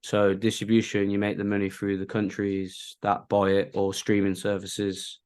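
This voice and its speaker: A man's voice: fairly low pitch, monotone, moderate pace, darkish tone. A man from the UK speaking casually as a participant in an online class on a video call.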